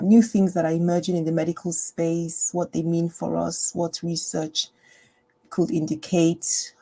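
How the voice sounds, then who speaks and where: A woman doctor hosting a health live stream from home, chatting in a meandering way with lots of fillers and restarts, her voice kept low and soft. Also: breathy edge. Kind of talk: casual live stream talk. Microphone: personal mic.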